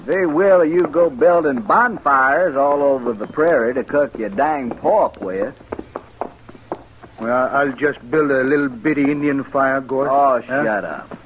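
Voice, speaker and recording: Slow-drawling actor as a frontier outlaw, noisy old radio. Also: male voice, mid-range voice, lo-fi sound, close to an everyday voice. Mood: bickering. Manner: drawling rustic frontier speech. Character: two outlaws on the run, bickering, uneasy frontier men. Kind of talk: old-time radio western acting. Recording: noisy vintage radio recording.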